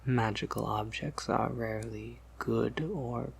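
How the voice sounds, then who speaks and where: A man voicing a gentle, wry vampire apothecary in a breathy ASMR whisper, musing softly and reassuringly. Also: mid-range voice, unhurried, a little hiss or hum. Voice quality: breathy whisper with creaky edges. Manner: gentle, reassuring, hesitant musing with soft hums. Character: soft-spoken, patient, wry male vampire apothecary, collector of oddities.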